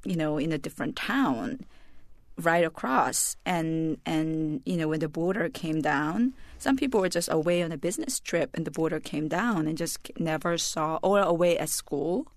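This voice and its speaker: A woman in her forties from South Korea, speaking with a Korean accent, thoughtful and reflective, pausing often with ums and restarts as a radio interview guest. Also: low-pitched, no echo. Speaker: author and journalist guest.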